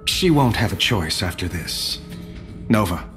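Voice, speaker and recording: A man playing a resolute, earnest emperor in a video game cutscene, measured and authoritative. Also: low-pitched, lively intonation, over music. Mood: grave, urgent. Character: resolute young male emperor, commanding and earnest.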